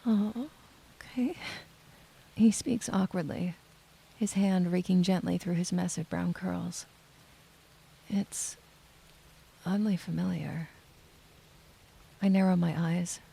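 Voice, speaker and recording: A woman playing a lonely, shaken young woman in hospital, narrating her own story in a soft, breathy, hushed and dramatic way. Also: lowish voice, steady tone, takes it slowly, pauses often, heavily acted, poor audio. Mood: hesitant, confused, then wondering, introspective. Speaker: narrator and lead character. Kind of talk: first-person audio drama narration.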